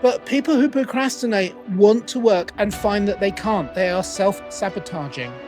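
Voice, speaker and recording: A middle-aged man from England with a British accent, a coach telling his story as a guest in a conversational interview, animated and playing out his own quoted thoughts. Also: high-pitched, moderate pace, over music. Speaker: guest, accountability coach and author.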